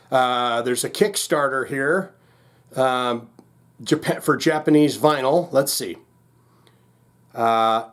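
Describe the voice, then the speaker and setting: A man hosting a casual music reaction, thinking aloud in a rambling, jokey, slangy way. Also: fairly high pitch.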